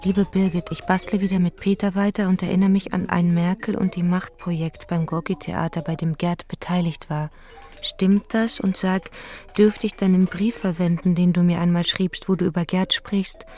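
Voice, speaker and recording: A woman narrating a radio feature, calmly reading terse notes and letters aloud. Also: on the low side, normal speed, soft background music. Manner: terse, reads fragmentary keywords.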